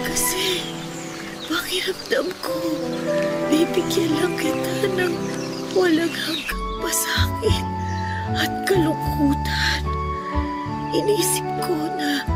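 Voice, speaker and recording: Radio drama: gentle dying young bride, halting and breathy, played by a woman. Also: extremely high pitch. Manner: soft, halting, at times whispered. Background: loud music.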